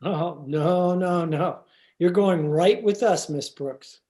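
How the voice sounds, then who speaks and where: Timid gentleman teacher played by an actor: middling pitch, deferential, formal, hesitant, radio sitcom. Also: slow pace, natural voice. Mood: mild, concerned. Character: mild, timid, proper male biology teacher. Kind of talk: old-time radio comedy acting. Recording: remote video-call recording.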